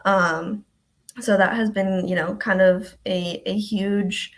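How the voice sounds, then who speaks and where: A woman's voice: mid-range voice, fairly even intonation, slow. A young woman from Ecuador, a health department coordinator, chatting casually as a guest on a live stream with many ums.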